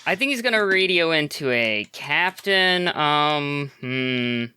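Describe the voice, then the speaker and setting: A man's voice: a little high, animated, slow, pushed and bright, smooth. A man playing a tabletop game as a guest, thinking aloud hesitantly. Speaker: player guest.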